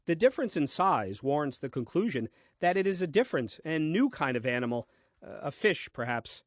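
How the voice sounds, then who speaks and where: Casting: man to play puzzled, literal-minded first husband in a comic audio play; lively swooping delivery, dry pedantry, exasperated temper. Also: a little high, no room sound. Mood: puzzled, pedantic. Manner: deliberate, theatrical, dry and pedantic.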